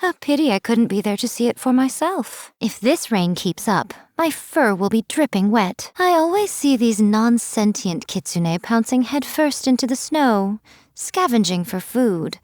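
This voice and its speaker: A woman's voice: on the high side, big pitch swings, measured pace, a little mellow, no echo, studio quality. A woman voicing a sly, teasing fox-spirit shrine priestess in a video game, speaking in a languid, amused way. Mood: wry. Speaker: game character voice.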